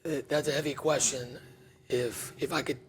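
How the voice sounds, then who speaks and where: A man speaking as a patient on a panel stage, heartfelt and halting. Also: medium pitch, fairly even intonation, slightly rough. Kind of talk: panel discussion answer. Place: stage at an awareness event.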